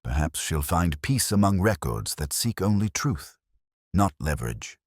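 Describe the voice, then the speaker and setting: A man acts out a brooding Victorian gentleman detective in an audiobook. The voice sits extremely low, with expressive swings in pitch. His phrasing is formal, terse and deliberate. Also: no echo, studio quality, full character voice. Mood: wry, reflective.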